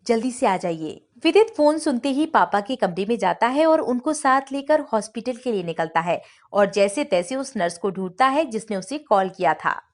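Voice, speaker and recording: A woman narrates a scripted family story. Her voice sits fairly high for a woman, and she tells it as a storyteller. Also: expressive, normal speed, hardly any pauses.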